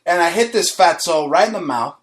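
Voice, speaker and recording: A man's voice: a little high, animated, fairly quick. A man hosting a talk show, ranting aggressively with lots of swearing and telling a boastful story from his school days. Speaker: show host. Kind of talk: profane comedic rant and storytelling.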